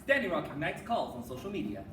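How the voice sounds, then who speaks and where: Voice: mid-range voice, sing-song, fast talker. A performer giving a rehearsed, dramatic spoken-word recitation in a declamatory, emphatic way. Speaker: reader's theater performer. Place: live competition performance in a room. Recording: roomy, distant mic, noisy room.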